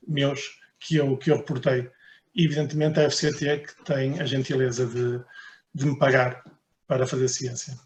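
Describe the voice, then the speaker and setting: Researcher, male, European Portuguese accent, slightly high voice, hesitant, roomy sound. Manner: hesitant, repeats words while thinking. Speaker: researcher giving a conference talk. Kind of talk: conference presentation and Q&A.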